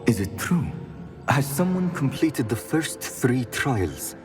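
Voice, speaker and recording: Fantasy game professor: solemn, cautious guardian, formal measured delivery, mid pitch, music underneath. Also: male voice, sing-song, distant mic. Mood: solemn surprise. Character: grave, cautious male professor, guardian of ancient secrets. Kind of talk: video game dramatic acting.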